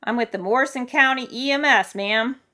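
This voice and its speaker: A woman's voice: on the high side, a little fast, pushed and bright, pitched above the performer's own voice. A woman voicing a firm, polite male emergency rescuer with a formal, urgent manner in a story reading. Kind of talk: audiobook character voice.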